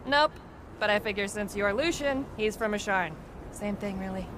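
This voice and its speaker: A woman's voice: medium pitch, normal speed, noisy background, natural voice. An actress playing a defiant, distrustful local woman in a fantasy video game, blunt and sarcastic in her speech. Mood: dismissive. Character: defiant, sardonic anti-authority local woman, distrustful of outsiders. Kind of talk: game cutscene acting.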